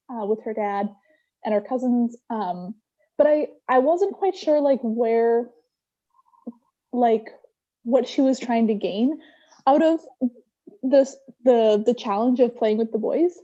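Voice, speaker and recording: A woman's voice: fairly high pitch, mellow. A woman giving casual, tentative feedback in a hesitant, hedging way on a video call. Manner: hesitant, hedging with many likes. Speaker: workshop participant giving feedback. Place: online video meeting. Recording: muffled lo-fi video call audio.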